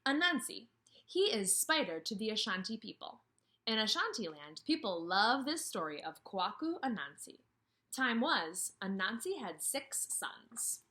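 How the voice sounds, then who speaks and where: Bright, animated woman teaching young children. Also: medium pitch. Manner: expressive, with questions and emphatic pauses. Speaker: kindergarten teacher. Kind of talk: instructional read-aloud for young children. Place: indoors, room with a brick wall.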